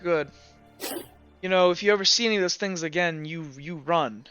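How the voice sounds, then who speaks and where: A man voicing a stern but caring female military commander in a tabletop game, speaking in a hesitant, measured, grave way. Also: high-pitched, highs cut off, music in the background, close to an everyday voice. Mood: concerned, questioning.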